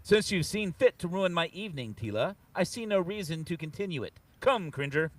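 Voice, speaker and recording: A man's voice: high-pitched, animated, a little fast, fairly bright tone, distant mic. A man playing a swaggering young fantasy prince with bluff, old-fashioned heroic phrasing in a comic book table read. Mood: hearty, heroic.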